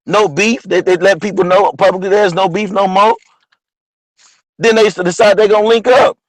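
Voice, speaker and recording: A man with a partly lost, hoarse voice, talking casually about history as host of an online show, often asking "you know what I'm saying?". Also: high-pitched, fairly even intonation, moderate pace, no room sound. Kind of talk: casual history talk monologue.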